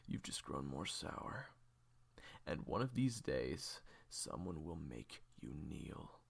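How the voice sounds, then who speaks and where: Audio drama role: defiant, bitter assassin played by a man, low husky gravel, swinging from hushed to fierce. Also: sing-song, slow, heavily acted. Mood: cold, bitter. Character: bitter, defiant male assassin, former protégé of villain. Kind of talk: audio roleplay acting.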